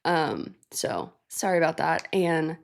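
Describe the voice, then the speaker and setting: A woman's voice: deep voice, animated, slow, creaky. A woman hosting a music reaction channel, sick and congested with a nasal voice, chatting casually and thinking aloud with fillers. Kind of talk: casual reaction chat to camera.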